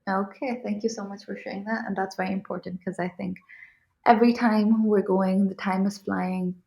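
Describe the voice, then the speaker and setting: Young female interviewer from Pakistan; gravelly, even delivery; polite and affirming. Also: mid-range voice, normal speed. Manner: polite, affirming, thanks the guest often. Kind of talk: interview questions.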